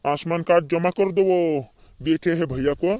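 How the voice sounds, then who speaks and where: Cartoon doctor, played by a man: brisk and colloquial, a touch high in pitch, reassures over and over with a scolding edge. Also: darkish tone, dull audio, a little hiss or hum, natural voice. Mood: reassuring. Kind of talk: amateur regional comedy cartoon dubbing.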